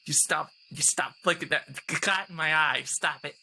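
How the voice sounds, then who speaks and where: A man's voice: a little high, normal speed, pushed and bright, full character voice. A man voicing a cocky brawling fighter who blusters like a pro wrestler in a tabletop game. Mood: taunting.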